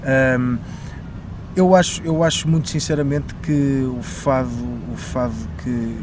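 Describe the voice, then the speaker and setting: A man's voice: medium pitch, a little slow, background noise. A man who sings fado, from northern Portugal but without a regional accent, answering interview questions in an earnest, reflective way with many restarts. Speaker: fado singer.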